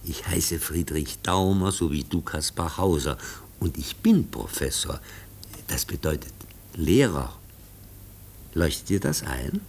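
A man's voice: a bass voice, animated, unhurried, a little mellow, a little hiss or hum. A man playing a patient, kindly teacher in a radio play, explaining things simply and carefully as if to a child. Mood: patient, instructing. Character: patient, kindly male teacher, gently instructing his pupil. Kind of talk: German radio play acting.

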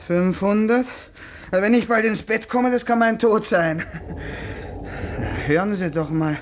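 An actor in a German radio play as a jovial, talkative Polish debt collector, courteous and effusive. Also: male voice, high-pitched, unhurried, slight background noise. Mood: complaining. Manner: effusive, chatty, courtly politeness.

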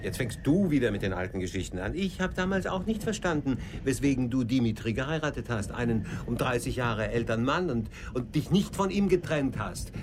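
A man's voice: medium pitch, expressive, fairly quick, hardly any pauses, background noise, natural voice. An actor playing a jealous, besotted middle-aged man on his honeymoon in a radio drama, reproachful and dryly sarcastic. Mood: reproachful, sardonic.

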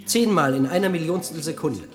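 A man's voice: a little high, expressive, fairly quick, natural voice. An actor playing a brooding, obsessive man in a radio drama, speaking in clipped fragments between declaiming and muttering. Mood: intense, declaiming. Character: Phil, brooding man obsessed with particle physics, talking to himself.

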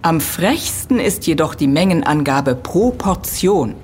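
An actress playing an earnest, critical university lecturer in an audio drama, explaining precisely and emphatically. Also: female voice, deep voice, lively intonation, a little slow, darkish tone, a little hiss or hum. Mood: explanatory, critical. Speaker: expert being interviewed.

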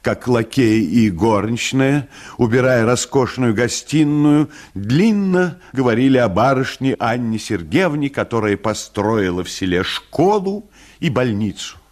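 A man reading a classic short story as a dramatised, expressive literary narration with an ironic touch. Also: medium pitch, unhurried.

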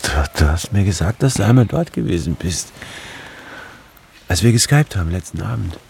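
An actor playing a brooding, introspective office anthropologist who narrates a radio drama in a measured way. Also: male voice, deep voice, lively intonation, gravelly, darkish tone, slight background noise. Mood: probing, puzzled. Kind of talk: scripted first-person radio drama narration.

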